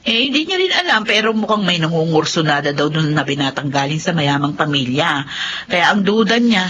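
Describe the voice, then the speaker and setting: A woman's voice: low voice, very animated, a little fast, fairly bright tone, slight background noise. A woman playing a bossy but sympathetic middle-aged mother in a radio drama, with a Filipino accent and an exclamatory, nagging manner. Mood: confiding, wistful. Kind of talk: Tagalog radio drama acting.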